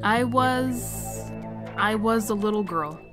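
A woman playing an earnest, anxious young female lab assistant in an animated drama, hesitant and troubled. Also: medium pitch, slow pace, music in the background, lightly acted. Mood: confused, distressed.

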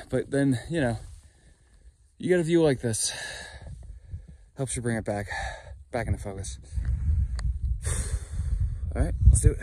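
A man's voice: medium pitch, a bit bright, a little hiss or hum. A young man vlogging from a long-distance hike on a phone held at arm's length, talking in a wry, self-mocking way outdoors. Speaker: thru-hiker vlogger. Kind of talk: casual trail vlog to camera. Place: outdoors on a mountain trail.

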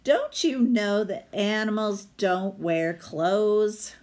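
Brief: teasing young girl, read by a woman, playful and unhurried. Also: mid-range voice, animated, darkish tone. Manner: laughing, teasing.

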